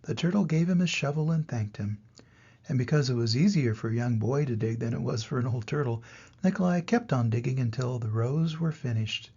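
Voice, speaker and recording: An older man reading a children's story aloud as a grandfather, with expressive, deliberate narration and dramatic emphasis. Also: mid-range voice, breathy edge, lo-fi sound.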